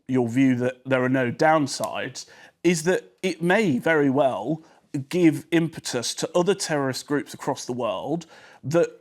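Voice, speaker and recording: A man's voice: mid-range voice, a little slow. A male panelist arguing in a studio debate, often restarting his sentences. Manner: stammering restarts, argumentative.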